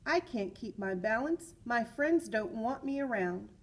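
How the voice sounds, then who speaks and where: A restless little talking shape from a storybook, read by a woman, earnest and plaintive. The voice is slightly high and a bit husky, with an unhurried delivery. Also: expressive. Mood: fed up, weary. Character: restless, childlike talking shape from a picture book.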